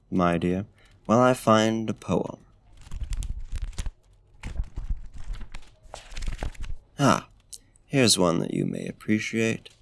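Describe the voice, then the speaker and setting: Man playing a deep, slow, courtly vampire. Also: a bit bright, slight background noise, heavily acted. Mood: refined, inviting. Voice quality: smooth, deep. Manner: formal, genteel, theatrical phrasing. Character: courtly, bookish, flirtatious male vampire. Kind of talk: ASMR roleplay, intimate talk and poetry reading.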